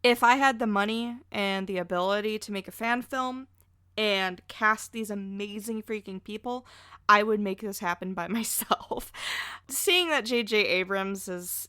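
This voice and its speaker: Casting: female pop-culture podcast guest, effusive, bright tone, very dry sound. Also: medium pitch, clean tone, no room sound.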